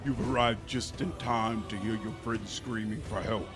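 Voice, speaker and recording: A smug demon from a fantasy game, played by a man, mocking and goading in a low voice. Music plays behind the sneering delivery. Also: fairly even intonation, moderate pace, close to an everyday voice. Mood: gloating, mocking. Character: smug, sneering demon commander taunting a rescuer.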